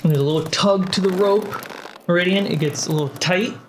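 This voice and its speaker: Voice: mid-range voice, brisk, a little hiss or hum. A game master narrating a fantasy tabletop game in descriptive second person, with a cinematic score behind. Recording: loud cinematic music behind the voice. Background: cinematic score and sound design.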